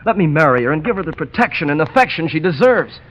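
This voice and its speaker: A man's voice: fairly high pitch, sing-song, fast, fairly bright tone. An actor playing an impulsive, lovestruck young man speaking heatedly in a 1930s radio drama. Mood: earnest. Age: young adult. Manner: heated, urgent. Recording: muffled old radio recording.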